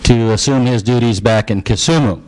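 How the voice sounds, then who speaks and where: A man's voice: mid-range voice, normal speed, low-quality recording. A man introducing visiting guests at a church meeting in a friendly, joking manner. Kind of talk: casual introductions.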